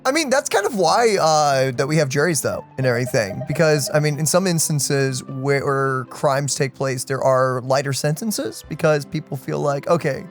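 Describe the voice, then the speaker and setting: A man's voice: on the high side, normal speed, few pauses, soft background music. A man hosting a let's play with casual gaming commentary, rambling as he thinks aloud.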